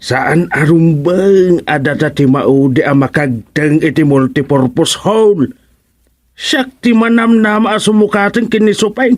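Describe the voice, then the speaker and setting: A man's voice: on the high side, measured pace, lightly acted. An actor with an Ilocano accent playing an eager elderly village man in a radio comedy drama, drawling and exclamatory. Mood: impatient. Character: eager elderly village man, seniors' club presidency hopeful.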